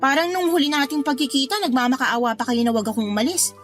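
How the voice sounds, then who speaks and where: An actress playing a stubborn, fed-up young adult daughter in a radio drama, with a snippy, complaining manner. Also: female voice, high voice, fairly even intonation, fast, faint music behind, lightly acted. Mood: weary, resentful.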